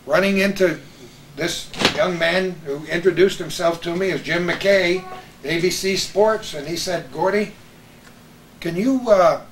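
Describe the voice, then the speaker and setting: Older American man, high-pitched voice, roomy sound. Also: measured pace. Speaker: host, veteran radio broadcaster. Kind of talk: reminiscing, anecdotal chat with old colleagues. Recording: roomy echo with some background noise.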